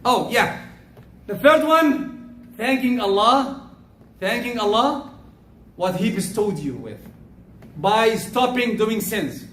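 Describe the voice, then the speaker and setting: A man giving a Friday sermon as khatib, loud and emphatic, stressing words as he preaches. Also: high-pitched, expressive, takes it slowly, long pauses, slight background noise. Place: mosque prayer hall. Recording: echoey hall, mic from far off.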